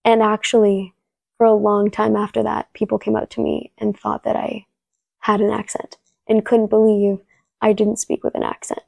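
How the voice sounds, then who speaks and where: Gravelly, flat-voiced young woman. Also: mid-range voice, normal speed, no echo. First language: Spanish. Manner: storytelling, relaxed, frequent "like" and restarts. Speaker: actress, interview guest. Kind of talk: casual interview answers.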